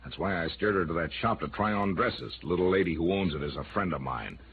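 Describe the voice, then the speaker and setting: An actor playing a menacing, sarcastic middle-aged criminal boss in an old-time radio noir, with a tough, taunting, commanding manner. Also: male voice, low voice, animated, fast. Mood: smug, pressing. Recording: noisy, narrow-band old radio recording.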